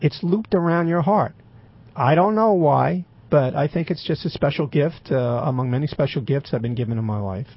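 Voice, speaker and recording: Raspy older man with gravelly voice. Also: on the high side, moderate pace, muffled recording. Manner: dry, wry humor with many fillers. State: throat occasionally closing up. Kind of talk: rambling personal account.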